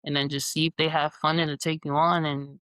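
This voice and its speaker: A man in his twenties, from Jamaica and New York, answering in a relaxed, easygoing way as an interview guest. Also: on the high side, a little fast, clear, resonant tone, no room sound. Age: 20s. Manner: relaxed, easygoing, with casual fillers. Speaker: guest scientist.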